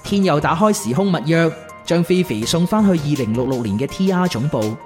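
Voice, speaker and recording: A woman's voice: sits low, animated, a little fast, a little mellow. A woman with a Hong Kong Cantonese accent reading scripted narration for a radio drama. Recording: music behind the narration. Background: background music.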